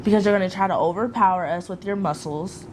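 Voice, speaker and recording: A woman's voice: mid-range voice, fast talker. Blunt, emphatic woman co-host talking casually over a noisy recording. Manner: blunt and emphatic, swears freely. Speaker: podcast co-host. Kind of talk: casual chat.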